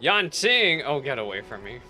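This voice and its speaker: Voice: medium pitch, sing-song, normal speed, bright, forceful tone. A streamer giving casual, playful, slangy live commentary while playing a game. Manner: playful, slangy, reacting aloud. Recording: faint game music behind.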